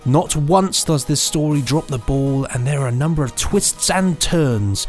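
A man's voice: fairly high pitch, lively intonation, unhurried, darkish tone, soft background music. A man co-hosting a podcast, playful in chat and reading out reviews. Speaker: podcast host.

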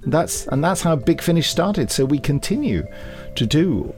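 A man's voice: on the high side, sing-song, unhurried, darkish tone, soft background music. A British man co-hosting a podcast in a chatty way, enthusiastic and given to wry, teasing asides. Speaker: podcast co-host.

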